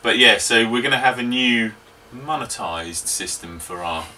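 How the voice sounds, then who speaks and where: A man's voice: mid-range voice, moderate pace, pushed and bright. A man in his thirties co-hosting a casual golf chat show from a small cluttered basement studio, leading segments and tossing tag questions to his co-host. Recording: distant mic, faint room hum.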